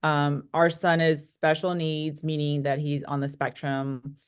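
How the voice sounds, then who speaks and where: Low-pitched American woman in her forties. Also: little pitch movement, measured pace, clear, resonant tone. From United States, born in Korea. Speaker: interview guest, real estate broker. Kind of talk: conversational interview answers, personal storytelling.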